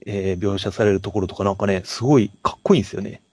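A man co-hosting a podcast, talking casually about films in a halting, storytelling way. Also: fairly low pitch, steady tone, a little mellow, slightly rough, dry recording. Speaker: podcast co-host. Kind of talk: casual film discussion.

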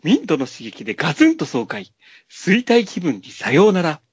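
Man putting on a high-pitched, peppy commercial pitchman. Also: wildly swooping pitch, husky, no room sound, clean studio sound, heavily acted. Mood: peppy, salesy. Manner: emphatic, drawn-out slogans. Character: peppy over-the-top male pitchman in a parody commercial. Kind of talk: exaggerated radio commercial read.

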